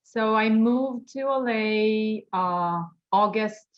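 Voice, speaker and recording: A woman in her thirties from Iran with a Persian accent, speaking casually and a little haltingly as an interview guest. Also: fairly high pitch, dull audio. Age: 30s. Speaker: architect, award-winning interview guest.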